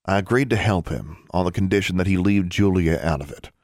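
A man's voice: low-pitched, brisk, no room sound, clean studio sound. A man voicing a serious, guarded and protective older brother in an audiobook, muttering wearily. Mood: weary, earnest. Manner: muttering, weary, clipped.

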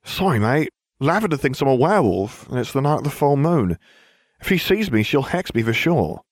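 A man's voice: medium pitch, sing-song, normal speed, no room sound, studio quality, pitched above the performer's own voice. A man voicing a nervous, grumbling teenage boy who blurts out his words. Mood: grumbly. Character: teenage boy, grumpy, jumpy, protective brother.

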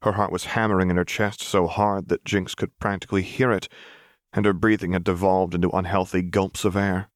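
A man's voice: low-pitched, little pitch movement, brisk, no room sound, studio quality. A man reading scripted fiction as an audiobook narrator.

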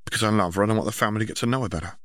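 A man's voice: fairly low pitch, racing, fairly bright tone, no echo, lightly acted. A man voicing a protective, earnest older brother, a young man, in a story reading. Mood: earnest, firm.

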